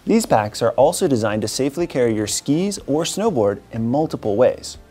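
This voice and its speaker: A man in his thirties, upbeat and steady, walking through product features in a scripted presentation. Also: mid-range voice, normal speed, breathy edge, faint music behind. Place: indoor studio with wooden wall.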